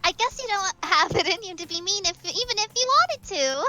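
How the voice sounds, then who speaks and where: A woman's voice: extremely high pitch, lively intonation, a little fast, pushed and bright, soft background music, exaggerated voice. A woman voicing a cheerful, scatterbrained teenage anime schoolgirl in a visual novel dub, cutesy, whiny and teasing. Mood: playful.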